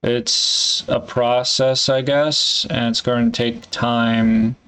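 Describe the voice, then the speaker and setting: A man in a candid solo vlog, thinking aloud in a halting, hesitant way and swearing casually. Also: mid-range voice, fairly even intonation, a bit bright, clean tone. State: congested. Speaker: vlogger.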